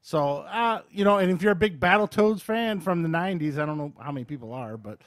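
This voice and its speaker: A man's voice: a little high, animated, fairly quick, no echo, clean studio sound. A man hosting a podcast, casually reviewing video games in informal, slangy language and short bursts of explanation. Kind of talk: casual chat, game review.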